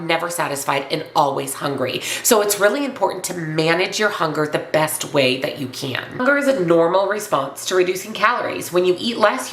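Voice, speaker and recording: A woman with a congested, slightly raspy voice from a cold, speaking earnestly and emphatically as a coach addressing viewers in an instructional vlog. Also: lowish voice, a bit bright, echoey room. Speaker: weight loss and nutrition coach presenting to viewers. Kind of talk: upbeat instructional vlog to camera.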